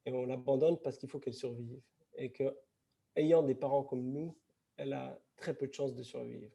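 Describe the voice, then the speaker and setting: A man speaking at a post-screening film discussion, reflective and halting, with many restarts. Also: mid-range voice, fairly even intonation, gravelly, mellow, husky. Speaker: festival panelist.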